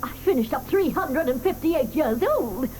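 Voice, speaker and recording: An actress playing a cantankerous, sharp-tongued old grandmother in a 1980s audio drama, scolding and hurling insults. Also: high-pitched, lively intonation, normal speed, a little hiss or hum. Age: older. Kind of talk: 1980s radio drama acting.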